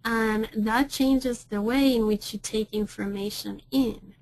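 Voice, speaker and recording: A woman's voice: a little high, steady tone, slow, no echo, poor audio. Woman giving a conversational clinical talk. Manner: conversational, with frequent ums and self-corrections. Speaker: clinical educator. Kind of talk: webinar presentation.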